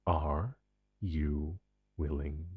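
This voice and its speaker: A man playing an ancient, powerful supernatural being in an audio roleplay, speaking gravely and commandingly with dramatic pauses. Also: fairly even intonation, dry recording, narrow, tinny audio, full character voice. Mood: grim, menacing. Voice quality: low, husky. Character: ancient, powerful, possessive male supernatural being, grim secret-agency superior. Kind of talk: intense dramatic audio roleplay monologue addressed to listener.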